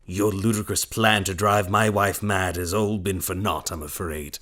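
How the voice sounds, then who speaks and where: A man's voice: sits low, fairly even intonation, moderate pace, fairly bright tone. A man playing a cold, domineering husband and father in a period audio melodrama, imperious, scornful and sardonic. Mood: contemptuous.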